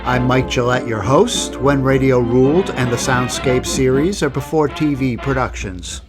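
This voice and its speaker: A man's voice: medium pitch, moderate pace. Male podcast host over prominent music. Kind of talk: scripted podcast sign-off narration.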